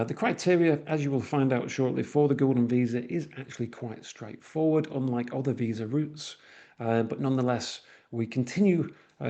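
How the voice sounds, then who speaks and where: A man's voice: medium pitch, creaky, dark tone, highs cut off. A man from northwest England with a Manchester accent, giving a webinar presentation with frequent uhs and restarts. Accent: Mancunian.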